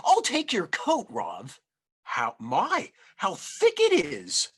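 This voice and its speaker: A man's voice: high-pitched, wildly swooping pitch, slow, husky, voice pushed up, exaggerated voice. Kindly, fussy old grandmother, voiced by a man. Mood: welcoming. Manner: fussy, welcoming, gently scolding. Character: kindly, chatty ninety-seven-year-old village grandmother, hard of hearing.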